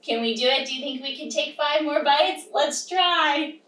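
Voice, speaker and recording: A woman's voice: high-pitched, steady tone, moderate pace, a bit bright. A young woman teaching a children's yoga storytime, giving simple, encouraging instructions and reading aloud to young children. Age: young adult. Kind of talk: guided kids' yoga lesson with read-aloud storytelling. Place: small indoor room with wooden floor. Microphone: camera across the room. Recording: roomy echo, distant microphone.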